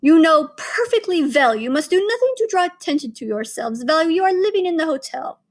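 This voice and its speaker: A woman playing a bossy, shrill witch queen. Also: very animated, brisk, full character voice. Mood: imperious. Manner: imperious, barking orders. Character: commanding evil female witch leader.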